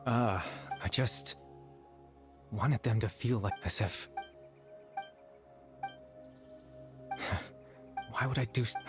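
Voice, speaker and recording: An actor playing a gentle, sorrowful young man in a video game, speaking softly and hesitantly with wistful remorse. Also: medium pitch, lightly acted. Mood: wistful, regretful. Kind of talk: dramatic video game dialogue.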